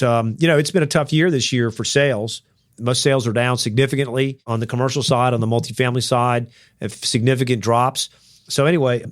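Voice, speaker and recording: A man co-hosting a podcast interview, talking in a chatty, rambling way with the odd joke. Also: mid-range voice. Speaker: podcast co-host.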